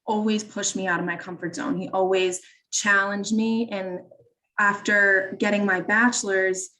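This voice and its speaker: A woman's voice: mid-range voice, flat delivery, measured pace, sharp tone. A young woman in her twenties speaking earnestly on a discussion panel. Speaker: panelist, threat detection analyst. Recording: distant from the mic.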